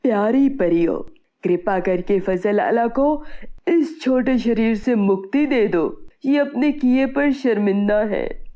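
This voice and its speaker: A woman voicing a humble, good-hearted male fruit farmer, gentle and earnest, in a children's storybook. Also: fairly high pitch, lively intonation, slow pace, muffled recording. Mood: beseeching.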